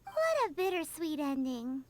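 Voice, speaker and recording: A woman voicing a tiny, chirpy fairy companion in a game, high and squeaky in a bouncy way. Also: very animated, unhurried, noisy background. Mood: wry. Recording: game audio with loud music.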